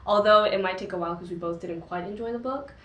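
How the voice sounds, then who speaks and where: A woman's voice: medium pitch, quick pace. A young woman co-hosting a casual book discussion, talking in a chatty way with lots of filler words. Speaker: co-host of a book discussion channel. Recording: echoey room.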